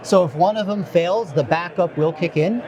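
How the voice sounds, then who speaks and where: A man calmly explaining technical equipment with frequent ums and uhs. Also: high voice, muffled recording, crowd noise. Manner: measured, filler-heavy explanation. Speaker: technical expert.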